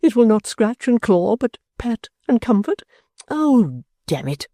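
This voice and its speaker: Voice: medium pitch, sing-song, measured pace, mellow, no echo, studio quality, voice pushed up. A reader voicing an affected, foppish man pleading in wheedling baby talk and mild oaths.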